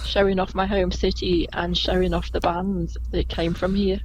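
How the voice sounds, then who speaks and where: Chatty Liverpool woman, gentle Scouse. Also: fairly low pitch, flat delivery, moderate pace, noisy background. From Liverpool, England. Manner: chatty, laughs often, rambling asides. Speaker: Beatles tour guide, podcast guest. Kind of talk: casual podcast interview.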